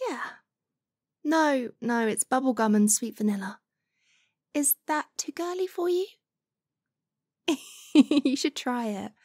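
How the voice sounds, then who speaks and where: A woman playing a doting, teasing motherly figure in a soft-spoken ASMR roleplay, coaxing and playful with breathy near-whispers. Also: sits high, expressive, slow, pauses often, dry recording, studio quality, full character voice.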